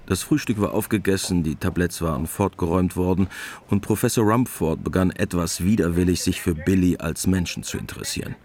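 A man narrating a literary war story in a radio play, measured, detached and matter-of-fact. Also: low-pitched, a little mellow, slight background noise, natural voice. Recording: music under the narration.

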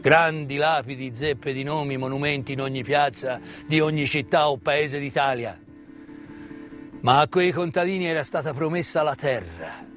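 A man delivering a theatrical storytelling monologue, emphatic and rhetorical, full of repetition and questions. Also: medium pitch. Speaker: stage narrator and actor. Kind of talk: theatrical monologue, conversational storytelling about wartime history. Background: live original music.

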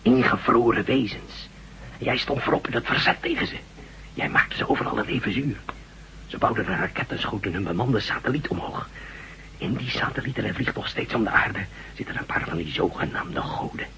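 An actor playing a badly wounded Russian space official in a radio drama, speaking haltingly and short of breath as he pleads urgently. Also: medium pitch, pushed and bright, low-quality recording. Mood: grave, urgent. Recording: radio recording with faint noise.

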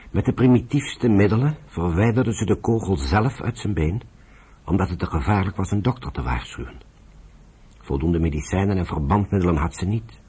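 An actor narrating a radio drama as a sober storyteller, reading in a scripted, measured way. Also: male voice, low voice, darkish tone, slightly rough, full character voice.

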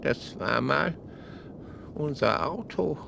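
An actor playing a stunned car owner, speaking haltingly in a creaky voice, in a German radio play. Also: male voice, on the high side, lively intonation, background noise, exaggerated voice.

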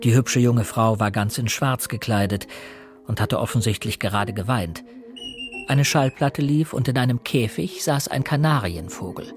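Male actor as observant young gentleman, radio mystery narrator, measured literary delivery, mid-pitched, music behind. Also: lightly acted. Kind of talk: scripted radio drama narration.

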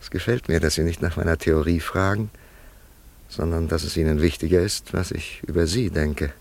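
An actor playing a wry, sceptical man in a radio play, gravelly, bemused and teasingly frank. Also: sits low, steady tone, normal speed, a little mellow. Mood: teasing, musing. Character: wry, sceptical village man humouring an eccentric teacher. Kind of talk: German radio play dialogue.

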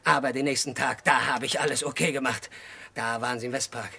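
A disturbed, childlike killer in a radio crime drama. His voice is husky and medium-pitched. He confesses in short, halting fragments, swinging between hushed and agitated. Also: steady tone, full character voice. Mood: bitter, giggly, then brooding, secretive. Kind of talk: mid-century German radio crime drama acting.

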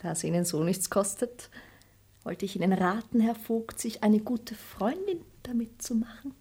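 An actress playing a sharp-tongued, coquettish young maid in a radio play. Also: female voice, medium pitch, normal speed, slightly rough. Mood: pert. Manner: pert, mocking, coaxing.

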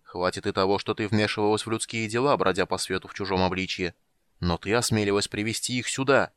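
A man's voice: on the low side, steady tone, fast talker, a bit bright, smooth, no echo, lightly acted. A man reading as a stern ancient wizard. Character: ancient male wizard, stern yet absent-minded.

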